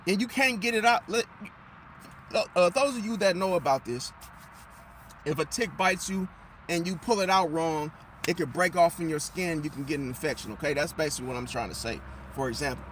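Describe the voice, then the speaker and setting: A man, slightly hoarse and losing his voice, lecturing viewers in a casual, emphatic way, repeating himself and firing off rhetorical questions. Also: on the high side, fairly quick, fairly bright tone, slight background noise. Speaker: astrology commentator. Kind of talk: casual talking monologue to viewers.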